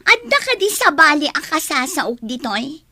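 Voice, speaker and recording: A woman's voice: high voice, big pitch swings, normal speed, sharp tone, no echo, clean studio sound, full character voice. A woman voicing a spoiled, haughty young daughter in a radio drama, bossy and whiny. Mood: bossy, scolding.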